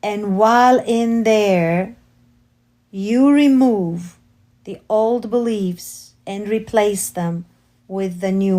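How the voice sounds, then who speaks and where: A woman with a Romanian accent, not a native English speaker, reading her spiritual memoir aloud slowly and carefully, with occasional self-corrections. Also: mid-range voice, mellow. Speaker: author reading her book.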